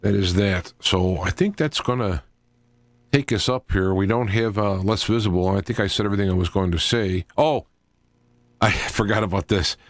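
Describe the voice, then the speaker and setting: Male talk radio host, middle-aged, lowish register, dry sound. Informal solo monologue, halting and digressive delivery. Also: no room sound. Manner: halting, digressive, thinking aloud.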